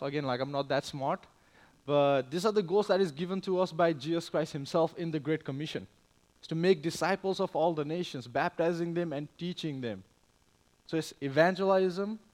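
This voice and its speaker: Higher-pitched young man presenting to church. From Nepal. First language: Nepali. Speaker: missionary and church planter. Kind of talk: church missions presentation with slides. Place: speaking live to a church congregation.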